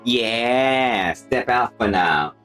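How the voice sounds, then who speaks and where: A man's voice: medium pitch, animated, drawn-out words, fairly bright tone, soft background music. A man giving casual live gameplay commentary as a streamer, muttering short remarks to himself. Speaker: game streamer.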